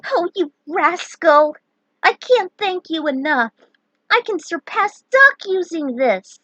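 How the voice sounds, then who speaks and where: A woman voicing an excitable young fantasy adventurer in an audiobook story, gushing in exclamations. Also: extremely high pitch, takes it slowly, no echo, voice pushed up, full character voice, low-quality recording. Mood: gleeful.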